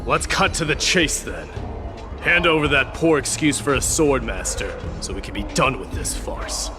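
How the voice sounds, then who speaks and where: An actor playing a stern young male warrior prince in a game, speaking in a calm, reserved, clipped way. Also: medium pitch, normal speed, close to an everyday voice. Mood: cold defiance. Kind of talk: game voice acting. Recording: music under the voice.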